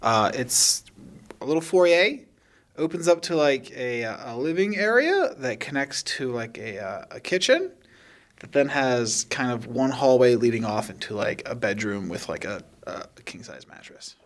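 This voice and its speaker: A man improvising narration as game master of a tabletop game, describing things in an informal, halting way. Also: mid-range voice, sing-song.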